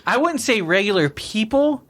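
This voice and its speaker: A man on a laid-back beer podcast talks in a slightly high voice, looping back over his words and laughing often as he chats with friends. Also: big pitch swings, normal speed, a bit bright. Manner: rambling, restarts a lot and laughs often. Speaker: podcast co-host. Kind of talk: casual chat over beers.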